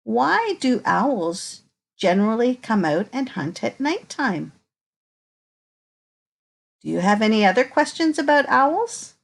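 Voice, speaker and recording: A woman reading a children's picture book aloud in an expressive, unhurried way, pausing to put inviting questions to young listeners. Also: on the high side, gravelly.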